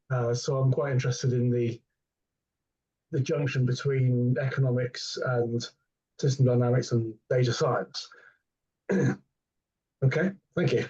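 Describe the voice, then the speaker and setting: A man introducing himself on a video call, hesitant and often clearing his throat. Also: medium pitch, steady tone, unhurried, long pauses, warm tone. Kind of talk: self-introduction in online meeting. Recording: muffled video call audio.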